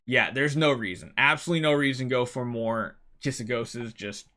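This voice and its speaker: A man's voice: medium pitch, moderate pace, bright, forceful tone. A man hosting a gaming video, giving casual, emphatic gameplay advice and repeating key words to drive points home.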